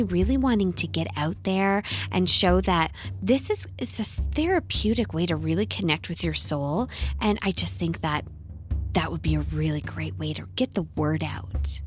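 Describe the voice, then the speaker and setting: A woman's voice: mid-range voice, lightly acted. A woman playing an earnest, rambling hippie homeschooling mother and larper, dreamy and self-satisfied, in a comedy audio drama interview. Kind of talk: mock reality-show audition interview in comedy audio drama.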